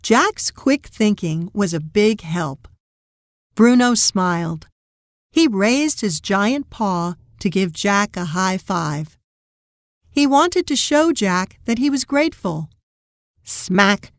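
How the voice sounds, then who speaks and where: A woman narrating a scripted children's lesson and story read-aloud, deliberately and instructionally. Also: medium pitch, expressive, no echo, studio quality.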